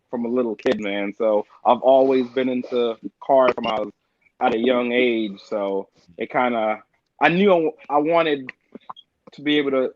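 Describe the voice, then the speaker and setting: A middle-aged man from Jamaica, a guest on a casual podcast, telling stories in a relaxed way and often saying "man" and "like". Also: mid-range voice, dull audio. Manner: relaxed storytelling with frequent "man" and "like".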